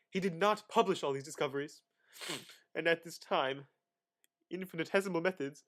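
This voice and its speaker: Young male reader, higher-pitched, forceful bright tone, overdramatic delivery of reference text. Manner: theatrical, exaggerated emphasis with sudden loud and hushed phrases. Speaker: student reader. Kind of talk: dramatic reading of an encyclopedia article.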